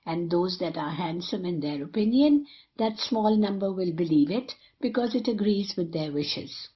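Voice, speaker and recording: A woman's voice: on the low side, animated, moderate pace, highs cut off, close to an everyday voice. A woman playing a cynical, scheming young male rake in a period stage comedy, boastful and rhetorical.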